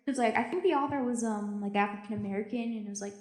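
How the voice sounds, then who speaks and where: A high, echo-laden voice belongs to an actress playing a lonely, jaded woman stranded in a deep-sea station. She keeps an anxious audio log for a drama podcast, rambling, stammering and interrupting herself as she confides. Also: fast, darkish tone, lightly acted. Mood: musing. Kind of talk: audio drama monologue, confessional recorded log. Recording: echoey room.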